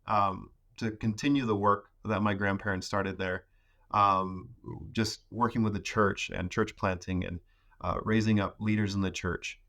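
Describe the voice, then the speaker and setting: A man in his thirties, a podcast guest, talking casually about growing up in the rainforest, with many pauses and restarts. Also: fairly low pitch, flat delivery, sharp tone. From Ecuador. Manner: hesitant, many fillers and restarts. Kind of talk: casual conversation.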